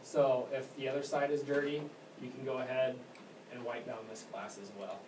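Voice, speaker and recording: A man giving informal hands-on training as a technician, talking casually and haltingly and often trailing off. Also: mid-range voice, level tone. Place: indoor room at an elementary school. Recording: distant mic, echoey room, clear background noise.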